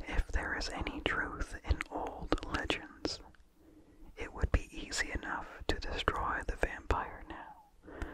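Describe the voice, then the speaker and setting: Slow whispered ASMR story narrator. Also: low-quality recording. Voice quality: breathy unvoiced whisper with incidental mouth sounds. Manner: slow, deliberate, hushed delivery. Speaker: narrator reading a story aloud. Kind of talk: whispered ASMR reading of a vintage horror story.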